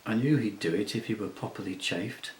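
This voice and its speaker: A man's voice: fairly low pitch, brisk, slight background noise, natural voice. A man doing a sly, resentful lord. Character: proud, resentful noble lord. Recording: roomy echo.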